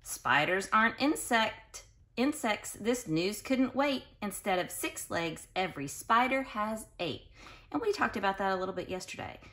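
A woman reading a picture book aloud to young children like a teacher, expressive and carefully spoken, with explanatory asides and questions. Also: mid-range voice, moderate pace.

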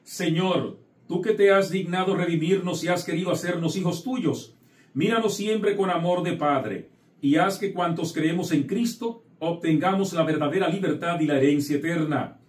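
Echoey priest, fairly high male voice. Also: moderate pace. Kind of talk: liturgical prayer, scripture reading and address to the faithful. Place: indoor chapel set at an altar. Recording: echoey room, distant microphone.

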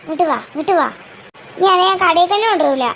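Bossy cartoon elder sister, put on by an actor: very high girlish voice, curt and scolding, noisy track. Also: fast, vocal fry, fairly bright tone, heavily acted. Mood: cross. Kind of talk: Malayalam comedy cartoon dialogue.

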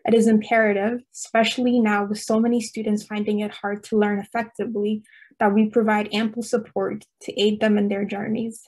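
Young woman from Ethiopia, mid pitch, flat steady read of prepared panel remarks. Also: brisk, low-quality recording. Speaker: student panelist, tutor. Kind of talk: reading a prepared speech. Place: home room on a video call.

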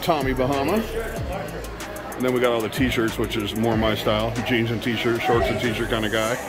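A man's voice: medium pitch, little pitch movement, normal speed. Middle-aged man vlogging casually, music behind. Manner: informal slangy exclamations. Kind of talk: casual vlog commentary. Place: large busy retail store.

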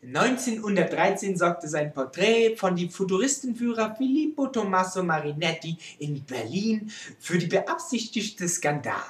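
A woman playing a vain, theatrical male French artist with a French accent, presenting a comic art history lecture in broken German. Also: fairly low pitch. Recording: roomy, echoing amateur recording.

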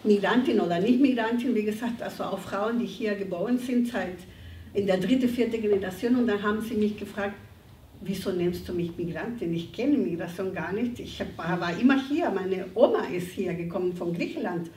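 A woman's voice: medium pitch, brisk, darkish tone, slight background noise. Woman moderator, echoey room. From abroad, living in Germany 35 years. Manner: hesitant, many fillers, often says "eben halt". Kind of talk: panel discussion at a public reading. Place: bright community room, indoors. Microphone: distant camera mic. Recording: roomy echo, far from the mic.

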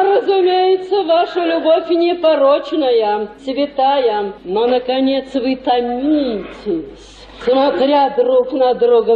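An actress playing a scheming, gushing provincial lady and matchmaking mother in a declamatory radio play style. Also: sits high. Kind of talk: Russian radio play acting. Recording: echoey room, dull muffled sound.

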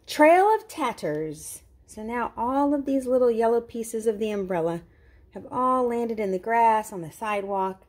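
A woman reading a picture book aloud to young children, expressively, with simple explanatory asides. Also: medium pitch. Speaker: children's read-aloud host.

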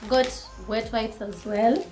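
A woman's voice: fairly high pitch, steady tone, takes it slowly. A young woman in her twenties with a Kenyan accent, chatting casually about her shopping haul as she holds up each item to the camera in her living room. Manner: chatty, code-switching, shows items to camera. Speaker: vlogger.

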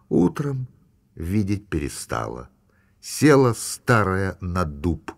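A man narrating a rhymed fairy tale for children in an expressive, sing-song manner. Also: lowish voice. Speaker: storyteller.

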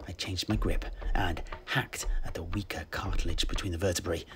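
A man narrating a fantasy tale in the first person, theatrical and deliberate, with long pauses. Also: low-pitched, fairly even intonation, background noise. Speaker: storyteller, host.

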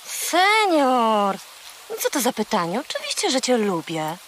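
Young girl in a kids audio play, high voice, really animated, affectionate. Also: measured pace, slight background noise. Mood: playful. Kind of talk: children's audio drama acting.

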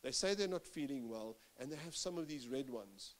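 A middle-aged man giving a sports medicine lecture to coaches on a conference stage, speaking into a handheld mic, animated, with many restarts and fillers. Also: medium pitch, moderate pace.

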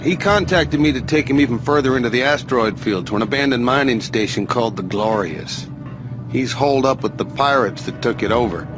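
An actor playing a sly, smug outlaw racer in a video game, goading with mocking theatrical pauses. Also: male voice, mid-range voice, expressive, close to an everyday voice. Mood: confiding. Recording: music behind the dialogue.

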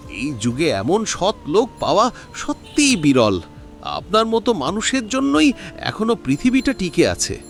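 Man playing a highly animated, effusive rich businessman. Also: unhurried, soft background music, exaggerated voice. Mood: grateful, admiring. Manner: emphatic, effusive. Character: grateful wealthy businessman, effusive and generous.

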